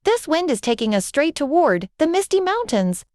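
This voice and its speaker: A small adventurous storybook squirrel, played by a woman narrator, squeaky and high. He is plucky and brisk, with lively swings of pitch as he gives instructions. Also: dry recording, studio quality. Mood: plucky, warning. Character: tiny adventurous male squirrel, plucky and knowing.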